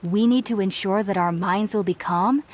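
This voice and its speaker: A woman playing a studious, polite young researcher in a video game, speaking formally, earnestly and with precise, measured phrasing. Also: medium pitch, natural voice. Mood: solemn, candid. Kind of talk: scripted game character dialogue. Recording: music behind the voice.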